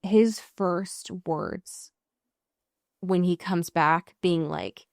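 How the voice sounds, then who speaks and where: A woman with a lowish voice co-hosts a casual podcast in a dry, close-sounding space. She retells scenes conversationally, slipping into mimicked lines and swearing as she goes. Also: very slow, vocal fry, no room sound. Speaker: podcast co-host. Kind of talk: casual chat recapping a TV show.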